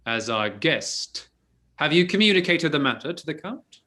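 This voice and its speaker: A man playing a witty, scheming gentleman. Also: a little high, big pitch swings, moderate pace. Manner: arch, theatrical reading. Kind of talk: stage-play reading.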